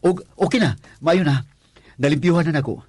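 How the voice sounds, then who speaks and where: A man's voice: a little high, normal speed, darkish tone, slightly rough, no echo. A man acting a nervous, lustful young security guard in a radio drama, stammering and exclaiming with a Cebuano accent.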